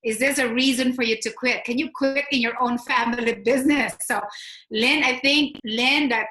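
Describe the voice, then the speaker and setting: Moderator: woman, bright higher voice, roomy echo, brisk question reader. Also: fairly even intonation. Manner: brisk, reads out audience questions. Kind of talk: moderating a panel.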